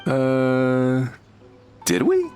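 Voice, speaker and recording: A man's voice: medium pitch, wildly swooping pitch, very slow, a little mellow, soft background music, exaggerated voice. A man playing an easygoing, absent-minded fisherman in a video game, with a laid-back, drifting way of talking. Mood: carefree, vague. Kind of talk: video game character acting.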